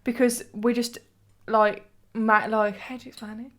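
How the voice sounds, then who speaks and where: A woman's voice: on the high side, level tone, moderate pace, a bit bright. Hesitant young woman co-host. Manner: agreeable, hesitant, stumbles over words. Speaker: podcast co-host. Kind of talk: casual chat.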